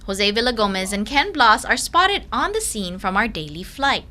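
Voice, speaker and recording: Bright, lively woman news reporter. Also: on the high side, normal speed. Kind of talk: scripted news narration.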